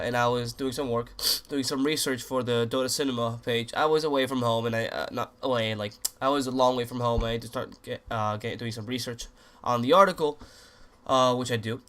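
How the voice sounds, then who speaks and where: A man's voice: medium pitch, sharp tone. Sick young man rambling crudely. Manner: rambling, crude, joking, many restarts. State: sick, voice sounds off. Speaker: gaming commentator. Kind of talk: casual rambling commentary over gameplay.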